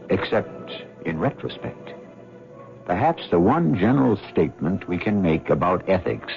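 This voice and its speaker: A man hosting a radio mystery drama, giving a solemn, measured moral reflection in theatrical narration. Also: low-pitched, vocal fry. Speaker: host. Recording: dull old radio broadcast with music.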